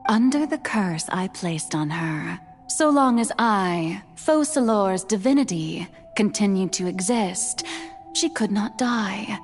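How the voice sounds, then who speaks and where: An elegant goddess from a video game, speaks at a middle pitch over soft music. Her diction is refined and grandly theatrical. She comes across as self-assured and playful, with a wistful streak. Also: lively intonation, slow. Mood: solemn, dramatic. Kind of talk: video game character monologue. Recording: background music under studio voice.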